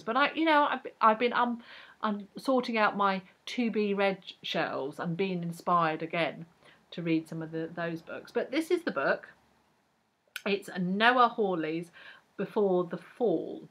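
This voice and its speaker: Bright-voiced chatty English woman. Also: mid-range voice. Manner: chatty, rambling with many restarts and fillers. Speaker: book vlogger. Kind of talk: casual chat to camera.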